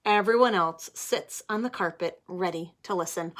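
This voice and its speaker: A woman's voice: fairly low pitch, normal speed, a little hiss or hum. A woman teacher reading a picture book aloud to children, stopping to ask them questions. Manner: animated, addresses children directly. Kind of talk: read-aloud storytime for children.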